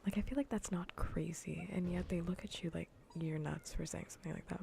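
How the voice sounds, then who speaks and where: Soft whispering woman, low and creaky. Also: steady tone, fairly quick, a little hiss or hum. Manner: casual, rambling, repeats phrases. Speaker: streamer. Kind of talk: ASMR livestream chat while gaming. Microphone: close ASMR microphone.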